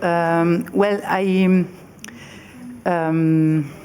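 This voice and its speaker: Low, mellow-voiced woman director. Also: steady tone, drawn-out words, slight background noise. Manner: hesitant, many fillers and restarts. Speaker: film director on a festival panel. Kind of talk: panel Q&A answers.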